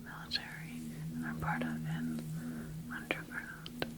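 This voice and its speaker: A woman whispering an ASMR sci-fi roleplay as a calm, clinical scientist, breathy and deliberate in a reassuring way. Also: a bass voice. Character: calm, clinical secret military scientist examining her creation.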